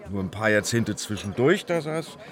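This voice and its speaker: A man's voice: lowish voice, very animated, normal speed. An actor playing a jovial underground pub barman in a radio drama, chatty and jokey in colloquial speech. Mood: amused, confiding. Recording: faint music and crowd murmur behind.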